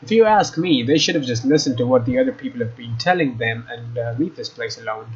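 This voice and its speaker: A man's voice: mid-range voice, expressive, a little fast, slightly rough. A young man doing a let's play, reading a visual novel aloud and adding casual commentary. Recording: dull lo-fi mic, faint noise.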